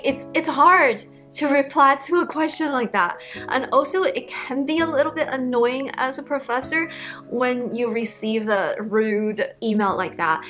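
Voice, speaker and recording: A woman in her thirties whose first language is Chinese, giving casual study advice to camera from a living-room armchair, chatty and animated. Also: on the high side. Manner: chatty, animated, acts out example emails. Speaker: educator YouTuber.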